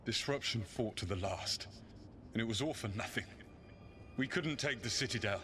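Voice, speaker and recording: A man's voice: on the low side, moderate pace, very noisy, close to an everyday voice. Earnest, commanding rebel lieutenant played by a man. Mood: bitter. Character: passionate, idealistic rebel lieutenant of a fantasy army. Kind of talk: dramatic video game voice acting. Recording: loud music behind the voice.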